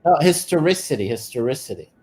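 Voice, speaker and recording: A man's voice: medium pitch, very animated, quick pace, darkish tone. A man whose first language is Hebrew, speaking in a casual chat, hesitant with many filler sounds but insistent on his point.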